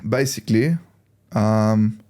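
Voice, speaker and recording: A young man in his twenties, born in Lebanon, talks in a mellow, warm voice. He answers in a relaxed, easygoing way, pausing and restarting as he gathers his thoughts. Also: lowish voice. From Lebanon, raised in Australia. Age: 20s. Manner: easygoing, laughs along, halting. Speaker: courier, guest. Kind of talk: casual interview answers.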